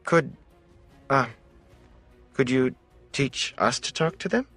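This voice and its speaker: A man's voice: mid-range voice, slow pace, a bit bright, slightly rough, dry recording, soft background music, pitched above the performer's own voice. A man voicing an earnest young village woman, bold but nervous, in a fantasy audiobook. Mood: nervous, eager.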